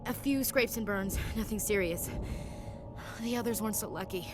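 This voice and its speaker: A woman's voice: medium pitch, moderate pace, natural voice. Lady playing tough space marine soldier in a game, plainspoken, dutiful, music in the background. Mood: guarded. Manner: plainspoken, military. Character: tough, dutiful female marine gunnery chief. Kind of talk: video game cutscene acting.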